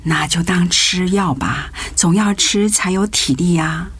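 Fussy, doting older mother, lowish voice. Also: a little slow, slightly rough, no room sound, exaggerated voice. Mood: coaxing, cheerful. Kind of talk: radio drama acting. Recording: music behind the voice.

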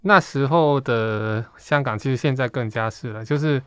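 A man's voice: medium pitch, normal speed, fairly bright tone, clear, resonant tone, no echo. A man from Hong Kong with a Hong Kong accent, talking reflectively and casually as a guest in an interview. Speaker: podcast guest, comic artist.

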